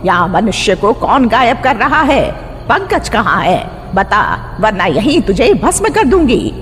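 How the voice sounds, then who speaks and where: A woman's voice: mid-range voice, sing-song, a little slow, soft background music. A woman voicing a stern old witch mother-in-law in a horror cartoon, commanding and sure of herself. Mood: threatening, commanding. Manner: commanding, threatening, assured. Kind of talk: Hindi horror cartoon dialogue. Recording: long hall reverb, background noise.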